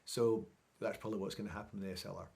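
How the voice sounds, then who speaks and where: Low-voiced man vlogging casually. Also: steady tone, rapid-fire, vocal fry, darkish tone. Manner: chatty, rambling, informal. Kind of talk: casual vlog recap to camera.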